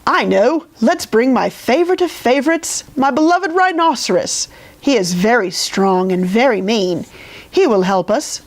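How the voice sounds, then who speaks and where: A woman's voice: a little high, expressive, measured pace. A woman voicing a grumpy, petulant storybook king in a raised, whining voice. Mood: eager.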